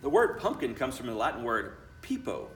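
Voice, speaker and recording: Animated man reading aloud, echoey room. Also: mid-range voice, normal speed. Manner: expressive, with chatty asides to children. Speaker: school principal. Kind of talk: children's picture book read aloud. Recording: roomy, distant mic, faint noise.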